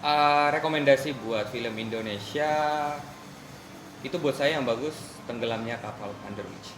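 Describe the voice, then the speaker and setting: A man's voice: medium pitch, normal speed, background noise. Young man from Makassar, echoey. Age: young adult. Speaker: interviewee. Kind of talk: casual street interview answers.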